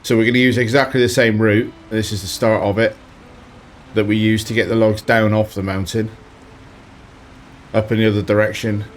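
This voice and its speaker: A man with a slight sore throat giving relaxed commentary while playing a driving game, thinking aloud as he goes. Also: fairly low pitch, moderate pace, long pauses, slight background noise. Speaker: let's play host. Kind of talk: casual gameplay commentary.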